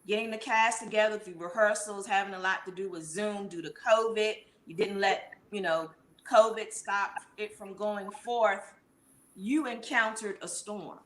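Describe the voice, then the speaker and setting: A woman's voice: medium pitch, steady tone, unhurried, fairly bright tone. A woman hosting a show and interviewing a guest in a conversational way. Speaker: show host. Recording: roomy echo with faint background noise.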